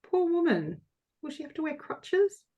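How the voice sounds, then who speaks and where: A woman playing a brisk, no-nonsense and slightly dim hospital assistant in a comic play, matter-of-fact and nagging. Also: high voice, very animated, muffled recording. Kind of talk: comic stage play reading.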